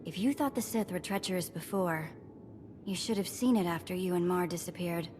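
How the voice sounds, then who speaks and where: An actress playing a sassy, playful thief in a video game, talking in a casual, slangy, teasing way. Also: female voice, on the low side, brisk, a little mellow, natural voice. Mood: warm, chatty. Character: sassy, playful female thief, breezy and streetwise. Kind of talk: video game cutscene acting. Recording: long reverb, music behind.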